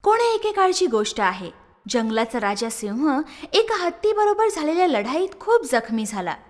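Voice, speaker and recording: A woman narrating a children's moral story in an expressive, sing-song storytelling manner. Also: sits high, moderate pace. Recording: long reverb.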